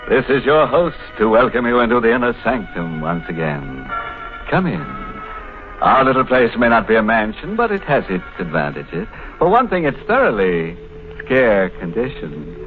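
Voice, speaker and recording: A ghoulish, mock-genial host speaks slowly and theatrically with droll relish. It is 1950s radio horror on a muffled old recording, with music clearly behind him. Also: male voice, lowish voice.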